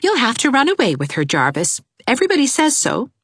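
A woman voicing a cool, sensible young woman teacher who speaks in a brisk, matter-of-fact way. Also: a little high, wildly swooping pitch, fairly bright tone, husky, dry recording, studio quality, pitched above the performer's own voice, heavily acted. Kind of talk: audiobook character voice.

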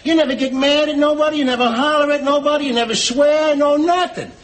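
A man playing a stubborn, blustering middle-aged working-class husband with a New York accent and rough grammar, in a sitcom. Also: very high voice, fast talker. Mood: exasperated, mocking. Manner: blustering, ungrammatical, badgering. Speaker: sitcom actor. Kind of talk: sitcom acting before a studio audience.

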